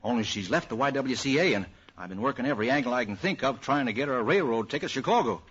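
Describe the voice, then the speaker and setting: A man's voice: medium pitch, lively intonation, quick pace, a bit bright, breathy edge. A man with an American accent playing a fretful, scheming character in 1940s radio comedy. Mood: anxious, furtive. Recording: muffled, noisy old radio recording.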